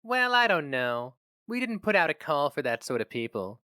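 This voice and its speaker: A man's voice: a little high, big pitch swings, normal speed, sharp tone, clear, resonant tone, dry recording, studio quality, voice pushed up. A man voicing a sober, curt young female receptionist in a dramatic story reading. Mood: doubtful.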